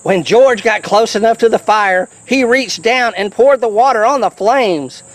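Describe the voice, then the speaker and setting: A person reading a children's picture book aloud in an animated, chatty way, with playful asides to the listener. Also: medium pitch, a little slow, poor audio. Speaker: storybook reader.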